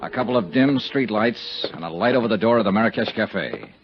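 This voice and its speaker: An actor playing a tough, cynical insurance investigator in a 1950s radio detective drama, with a hard-bitten, wry, clipped delivery. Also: male voice, lowish voice, lively intonation, fairly quick. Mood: tense narration. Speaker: lead actor and narrator. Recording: muffled, hissy 1950s radio recording.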